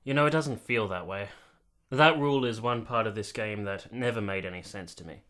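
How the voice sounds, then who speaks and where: An actor playing an earnest, articulate army captain who has been to war, in a radio play. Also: male voice, on the low side, brisk, a bit bright, natural voice. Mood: amused, explaining. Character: earnest, candid young army captain, reflective war veteran. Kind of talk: radio play narration and dialogue.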